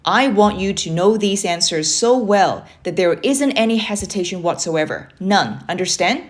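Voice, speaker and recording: A woman's voice: mid-range voice, lively intonation, moderate pace, lightly acted. A stern, challenging uncle from a children's storybook, read by a woman. He is curt and commanding, a tough military man who demands full effort. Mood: firm, demanding. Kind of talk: children's storybook character reading.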